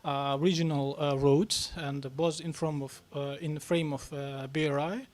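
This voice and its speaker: A man answering questions on a press club panel, talking haltingly with many fillers and restarts. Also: on the high side, flat delivery, darkish tone. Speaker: panel speaker on national reforms.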